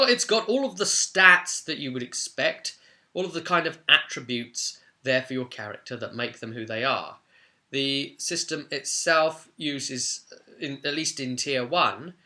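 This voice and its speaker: A man's voice: medium pitch, sharp tone. A man presenting an informal explainer on game rules in an enthusiastic, conversational manner. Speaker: presenter of a tabletop game guide.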